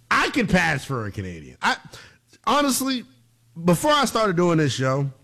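Animated, joking sports radio host. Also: male voice, high-pitched, measured pace. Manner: joking, animated, hype announcer bursts.

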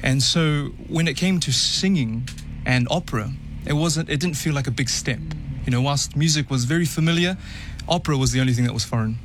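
A man who grew up speaking Tongan, an opera tenor being interviewed, chatting in a relaxed way and laughing easily. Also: medium pitch, fairly quick, hardly any pauses, slight background noise. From New Zealand, Tongan family. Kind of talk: casual interview chat.

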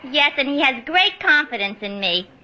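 Naive brassy young woman, high sharp voice, jumpy sing-song lines. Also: sing-song, moderate pace, exaggerated voice, rough recording. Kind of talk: 1950s radio comedy acting.

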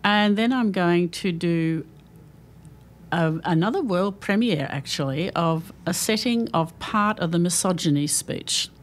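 A woman with an Australian accent, a singer chatting as a guest in a radio interview, lively and quick to laugh. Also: on the low side, slight background noise.

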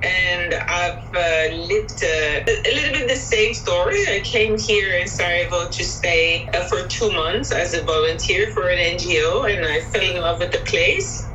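A middle-aged woman illustrator chatting as an interview guest, pausing often mid-sentence. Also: lowish voice, sharp tone. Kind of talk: casual interview chat. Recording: distant mic with faint music.

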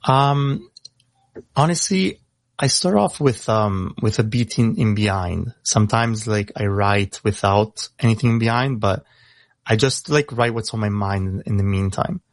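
A young man who grew up in Italy, with Italian as his first language, talking casually over a video call in a halting way with many fillers. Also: fairly low pitch, dry recording. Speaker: rapper guest.

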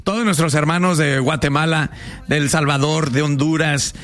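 Jokey radio host, slightly high voice. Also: male voice, moderate pace. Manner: teasing, jokey, excitable. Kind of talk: comedic radio banter.

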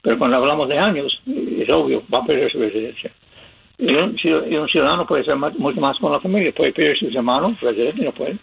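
Gravelly-voiced American man on radio. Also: a little high, sing-song, brisk. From California, United States. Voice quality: gravelly, husky. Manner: explains step by step with repeated contrasts, chuckles. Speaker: immigration advice radio host. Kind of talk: radio call-in advice talk. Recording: lo-fi phone-like radio sound.

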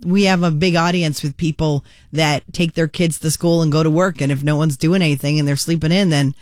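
A woman's voice: low-pitched, steady tone, fairly quick, darkish tone, no echo, studio quality. A woman co-hosting a morning radio show, chatting casually and reading celebrity news with quips. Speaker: radio co-host. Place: radio studio. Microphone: studio microphone.

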